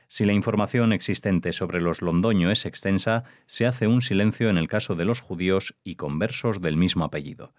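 Very low-voiced man reading formally. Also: no echo, clean studio sound. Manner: formal, informative. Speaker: genealogist collaborator. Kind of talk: scripted reading.

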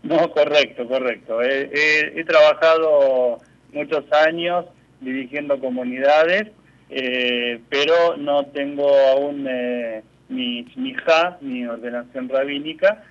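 Argentine man on a muffled line. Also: mid-range voice. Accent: Argentine Spanish. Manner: hesitant, many fillers and restarts, soft trailing pauses. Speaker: community spiritual leader. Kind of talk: radio interview.

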